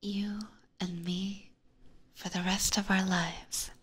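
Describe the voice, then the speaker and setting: A woman voicing an adoring, possessive vampire catgirl with sweet menace, whispering slowly and tenderly in an ASMR roleplay. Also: mid-range voice, level tone. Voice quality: breathy whisper. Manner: slow, tender, coaxing.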